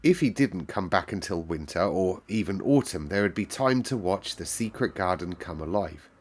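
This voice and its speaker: A man reading a classic novel aloud in calm, measured narration. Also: sits low, husky. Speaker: storyteller.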